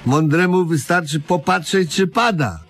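An actor playing a dim, stubborn, literal-minded man in a comedic radio play, halting and deadpan. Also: on the high side. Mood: smug, contrary. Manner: halting, deliberate, obtuse deadpan. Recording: noisy old radio play recording.

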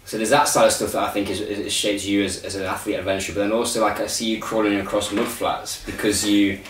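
A man in his thirties hosting a casual interview, talking in a rambling, halting, conversational way. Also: on the low side, little pitch movement. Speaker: interviewer, podcast host. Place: small indoor room at a table. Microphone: shared desk microphone. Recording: echoey room, distant mic, faint noise.

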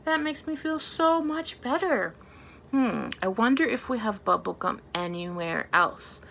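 A woman in her thirties leading a storytime for young children as a librarian, talking in an animated way with simple, exaggerated phrasing. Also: fairly high pitch, measured pace, vocal fry, slight background noise. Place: small plain indoor room.

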